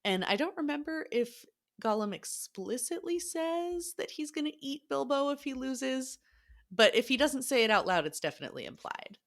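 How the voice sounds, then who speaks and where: Animated woman co-hosting a podcast. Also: high voice, moderate pace. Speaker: podcast co-host. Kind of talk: casual literary discussion.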